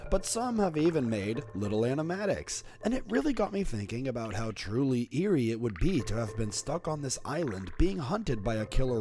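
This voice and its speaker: A man's voice: mid-range voice, darkish tone. A man narrating a scripted video essay as a horror commentator, in a playful, wry, conversational way.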